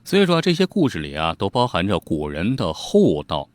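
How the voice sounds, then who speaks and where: A man telling old tales informally, in an animated, colloquial way with teasing asides to his listeners. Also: deep voice, brisk, over music. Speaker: storyteller, host. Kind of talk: informal storytelling narration of old ghost tales.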